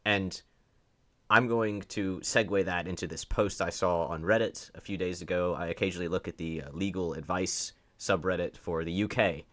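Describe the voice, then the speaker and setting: Deep-voiced man giving emphatic opinionated commentary. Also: fairly even intonation, normal speed, fairly bright tone. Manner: emphatic, stressing words for effect; rhetorical questions, sardonic. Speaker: online commentator. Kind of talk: opinionated off-the-cuff political commentary.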